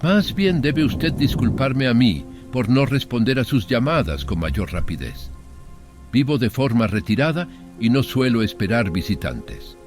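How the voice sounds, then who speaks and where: A man voicing a frail, reclusive old gentleman with a formal, cultivated manner in a horror audiobook. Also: medium pitch, big pitch swings, unhurried, music in the background, voice pushed up. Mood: courteous, weary.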